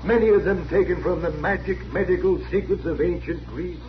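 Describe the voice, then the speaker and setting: Male actor doing a loud-talking medicine show salesman, american, pretty high voice, grandiose, old western radio, dull sound w crowd. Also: brisk, slight background noise, lightly acted. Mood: grand, persuasive. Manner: grandiose, folksy, declamatory. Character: bombastic traveling medicine-show huckster selling tonic. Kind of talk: 1950s radio western acting, sales pitch.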